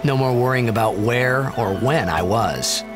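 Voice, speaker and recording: An actor playing a brash, upbeat teenage athlete in a fantasy video game, with a boyish, earnest delivery. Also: male voice, medium pitch, expressive, unhurried, over music, natural voice. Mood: reflective, calm. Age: young adult. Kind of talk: video game voice acting, with reflective narration.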